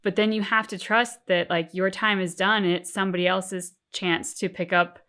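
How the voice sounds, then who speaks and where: A woman co-hosting a reaction show, talking casually and thoughtfully, with deliberate, emphatic phrasing. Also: mid-range voice, fairly even intonation, bright, forceful tone. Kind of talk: casual reflective discussion.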